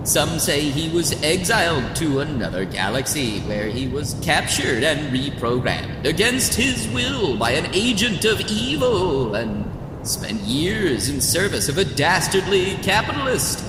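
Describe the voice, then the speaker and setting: A man playing a grandiose radio serial narrator, dramatic, drawn-out and ominous, in an echoey, noisy recording. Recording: echoey, long reverb, noisy rumble.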